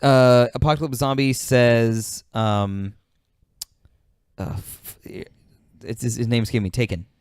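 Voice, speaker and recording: A man's voice: mid-range voice, steady tone, slow, darkish tone, clean tone, dry recording, clean studio sound. A man hosting a casual podcast, talking in a laid-back, slangy way with some swearing. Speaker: podcast host reading out listener suggestions.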